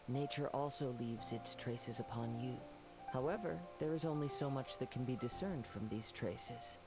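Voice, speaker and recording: A young wandering samurai acted by a man speaks with a creaky edge over clear background music. He is calm, poetic and courteous, with measured, formal game dialogue. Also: medium pitch, lightly acted, rough recording. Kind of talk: video game voice acting.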